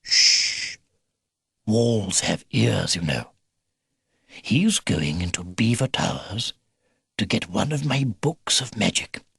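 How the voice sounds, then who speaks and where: A man voicing a kindly, excitable old grandfather beaver with a hearty, old-fashioned military manner, in a storybook reading. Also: a little high, unhurried, gravelly, husky, no echo, studio quality, pitched above the performer's own voice, exaggerated voice. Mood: hushed, firm. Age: older.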